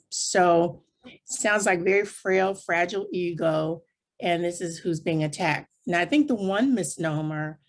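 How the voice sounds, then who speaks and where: A woman speaking as a panelist, explaining things point by point in plain, conversational terms. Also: fairly low pitch. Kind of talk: explanatory panel discussion talk.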